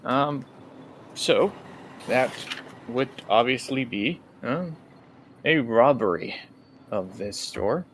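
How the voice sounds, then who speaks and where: A man playing a courteous but menacing crime boss uncle in a tabletop game, speaking in a measured, formal, halting way. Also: medium pitch, lively intonation, slight background noise. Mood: smoothly menacing.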